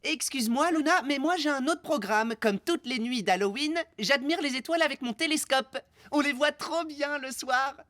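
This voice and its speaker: A woman's voice: high voice, normal speed, fairly bright tone, dry recording, clean studio sound. A woman voicing a bookish, slightly grumpy boy in a French cartoon, talking in a sensible, reluctant way. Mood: matter-of-fact, reluctant. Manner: matter-of-fact, reluctant. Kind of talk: French animated cartoon dubbing.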